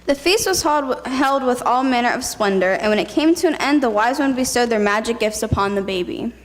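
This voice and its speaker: Higher-voiced woman narrating in a reverberant hall. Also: expressive, a little fast. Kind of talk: scripted fairy-tale narration, readers theatre. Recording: noisy hall with long reverb.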